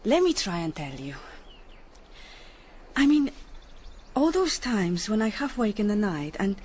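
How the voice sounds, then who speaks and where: A woman's voice: mid-range voice, sing-song, measured pace, darkish tone, husky. An actress playing an anxious, proper married Spanish woman, an older sister, fretful and emotional, in a radio historical drama. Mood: wistful.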